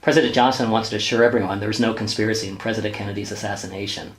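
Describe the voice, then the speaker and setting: A man's voice: lowish voice, level tone, rapid-fire, lightly acted. A man playing an earnest, deferential junior lawyer, easily exasperated, in a radio sitcom. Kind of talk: sitcom-style radio play dialogue.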